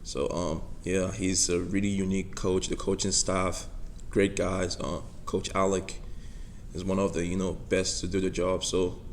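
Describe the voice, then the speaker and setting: Deep, flat-voiced young Nigerian man. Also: measured pace, slight background noise. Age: young adult. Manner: soft-spoken, many "you know" fillers. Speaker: college football player. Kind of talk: press conference answers.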